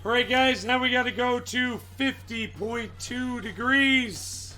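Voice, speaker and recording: A man with a raspy, strained voice chats casually on a live stream, searching for words and greeting viewers by name. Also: extremely high pitch, takes it slowly, pushed and bright.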